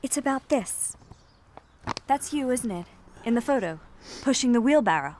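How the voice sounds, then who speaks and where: A woman's voice: fairly high pitch, lively intonation, moderate pace, a little mellow, a little hiss or hum, natural voice. An actress playing an unsettled, guarded but earnest woman in a naturalistic radio drama, with a hesitant way of talking. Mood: hesitant, probing.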